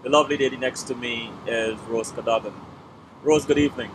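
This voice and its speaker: A man hosting a talk show interview, speaking in a measured, formal way as he introduces his guest. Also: medium pitch, fairly even intonation. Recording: noisy background hum.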